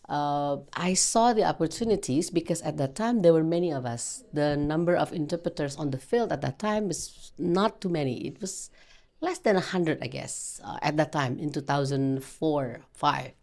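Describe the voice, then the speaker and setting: A woman in her forties with an Indonesian accent and a fairly deep voice for a woman. English is her second language. She speaks conversationally as an interview guest, agreeable in her manner. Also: moderate pace, darkish tone. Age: 40s.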